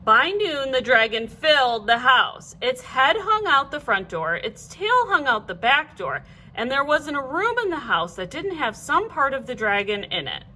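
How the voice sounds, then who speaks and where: A woman reading a children's storybook aloud like a teacher, in an animated, clearly phrased way. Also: high-pitched, normal speed.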